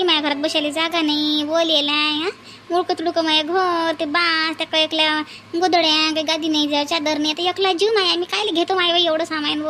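A woman's voice: very high voice, a bit bright. A woman playing a poor, meek village mother in a cartoon comedy, pleading hesitantly with a Varhadi Marathi accent. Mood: plaintive resignation. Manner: hesitant, plaintive, coaxing.

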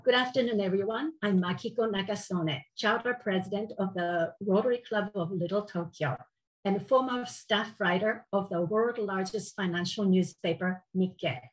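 An older woman, a native Japanese speaker with a Japanese accent, giving a prepared formal speech as a contest judge in a measured way. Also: medium pitch, poor audio. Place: indoors on a video call, virtual backdrop.

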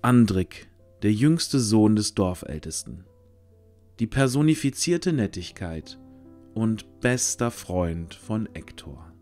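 A man narrating a scripted fantasy audio drama, using dramatic pauses. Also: on the low side, sing-song, darkish tone. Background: music.

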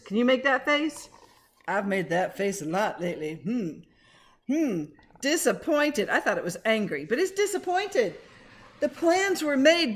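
A middle-aged woman, a teacher, doing an expressive, interactive picture-book read-aloud with chatty asides to the children. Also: fairly high pitch. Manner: expressive, dramatic when reading, conversational asides to the children. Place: small living room at home, indoors. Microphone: webcam or laptop at arm's length.

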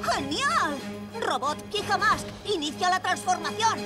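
A woman's voice: very high-pitched, lively intonation, measured pace, loud music behind the voice. A woman voicing a determined young boy superhero who leads his team, in a cartoon dub with a Castilian Spanish accent. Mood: commanding. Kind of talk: animated series dub.

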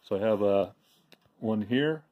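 Low-voiced man explaining a garage project. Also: phone-quality sound. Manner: plain, unhurried, with many restarts and "uh"s. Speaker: DIY video maker. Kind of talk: casual show-and-tell walkthrough. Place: home garage. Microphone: handheld phone camera, speaker off screen.

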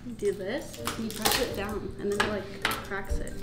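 A woman's voice: mid-range voice, fairly even intonation, normal speed, heavy background noise. A woman in her twenties with an American accent, chatting casually in an echoey space. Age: 20s. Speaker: vlog guest, host's girlfriend. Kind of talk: casual chat. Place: supermarket, then large home kitchen. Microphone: camera a few feet away. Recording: roomy echo from camera distance.

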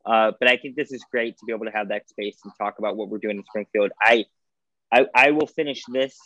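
A man's voice: lowish voice, brisk, fairly bright tone, dry recording. Earnest man on a muffled video call. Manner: earnest, self-aware, rambling. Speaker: state senator. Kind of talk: virtual town hall discussion.

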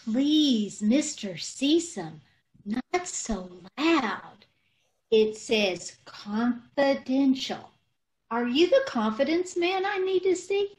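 A woman's voice: sits high, very animated, slow, darkish tone, full character voice, rough recording. A woman acting a scatterbrained, guileless, literal-minded married woman in an old-time radio comedy. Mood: earnest. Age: middle-aged. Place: indoors, on a video call.

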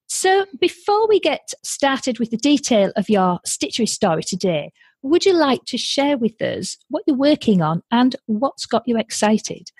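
A woman's voice: on the high side, sing-song, unhurried, darkish tone, husky, no room sound. A woman with a Yorkshire accent hosting a light-hearted podcast interview in a chatty, exclamatory way. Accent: Yorkshire English. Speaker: podcast host.

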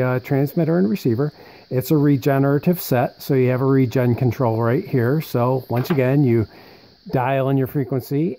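A man with a soft-edged, mellow voice presents in an easy show-and-tell style. He talks conversationally and thinks aloud as he goes, at a medium pitch. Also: rough recording. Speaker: presenter showing vintage military radio equipment. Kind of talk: casual unscripted show-and-tell narration.

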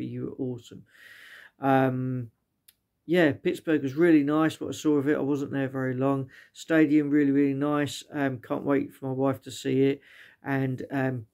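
British man with a creaky voice. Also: mid-range voice, a little mellow. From Britain. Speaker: vlogger reviewing stadiums as a fan. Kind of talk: casual unscripted vlog review. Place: small living room indoors.

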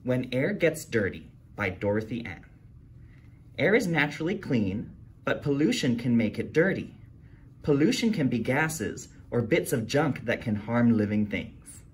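A man reads a picture book aloud for young kids, his pitch swinging widely as he brings the story to life. His voice has a husky edge to it, and he leaps upward for each character's line. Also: measured pace. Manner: animated read-aloud, jumps high for character quotes. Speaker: storytime reader.

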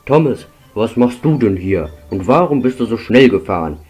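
A man's voice: lowish voice, expressive, moderate pace, a little mellow, faint music behind, natural voice. A man voicing a stern, reproachful middle-aged railway boss in a cartoon dub. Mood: stern scolding. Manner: reproachful, authoritative.

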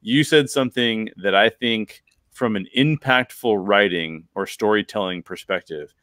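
A man's voice: on the low side, measured pace, fairly bright tone, no room sound, studio quality. An American man in his forties hosting a casual podcast discussion, talking emphatically and repeating words for emphasis. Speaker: podcast host. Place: bedroom at home, on a video call. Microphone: close desk microphone with headset.

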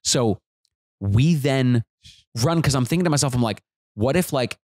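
A man in his thirties, a comedian guest, telling animated jokey stories on a podcast couch into a close boom mic. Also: moderate pace, dry recording. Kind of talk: casual storytelling chat. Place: living room studio with couch.

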